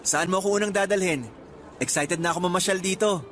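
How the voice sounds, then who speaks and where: An actor playing an excited young Filipino traveller in a radio drama, speaking in an earnest, conversational Filipino accent. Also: male voice, sits high, quick pace, slight background noise. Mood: curious, teasing. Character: excited young Filipino man on first trip abroad.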